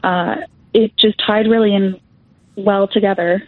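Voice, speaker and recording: A woman's voice: medium pitch, fairly even intonation, measured pace, no echo. A young adult woman music therapist, explaining her voice work as an interview guest with frequent fillers. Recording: tinny phone-like line.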